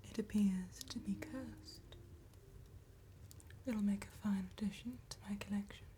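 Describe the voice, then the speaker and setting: Slow, whispery vampire lady, acted by a woman. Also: mid-range voice, fairly even intonation, a little hiss or hum, natural voice. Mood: sly, courteous. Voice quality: breathy, whispery, soft. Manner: slow, formal, old-fashioned politeness with veiled menace. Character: courteous, sly female vampire tattooist, gothic and predatory. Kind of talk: soft-spoken ASMR fantasy roleplay.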